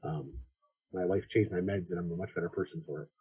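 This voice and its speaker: A man's voice: sits low, steady tone, fast talker, warm tone, breathy edge, no echo, low-quality recording. A man from Buffalo, New York, co-hosting a podcast in casual chat, his voice stuffed up and nasal from a cold. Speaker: podcast co-host.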